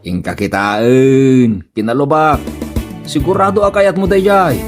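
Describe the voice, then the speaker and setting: A man's voice: mid-range voice, unhurried, music in the background, lightly acted. A man with an Ilocano accent playing a vain, sly village husband in a comedy radio drama, teasing and self-satisfied. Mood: evasive, teasing.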